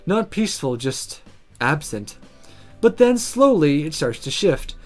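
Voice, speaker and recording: A woman hosting a gaming video, reading a visual novel aloud with expressive narration and casual commentary. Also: low-pitched, measured pace. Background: faint music.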